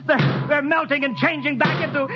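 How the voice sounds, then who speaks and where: A rocket captain, stammering and halting. He sounds muffled, as on a vintage radio broadcast, with loud music swelling behind him. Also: extremely high pitch, fairly even intonation. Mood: desperate. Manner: breathless, stammering and desperate. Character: middle-aged male rocket captain, bewildered. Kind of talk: 1950s radio drama acting.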